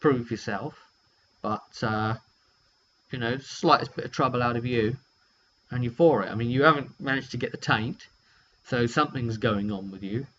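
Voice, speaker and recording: A British man casually telling a story in an animated, rambling way, drifting into asides as he goes. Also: mid-range voice, normal speed. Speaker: tabletop game player recounting a session. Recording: muffled lo-fi audio with hum.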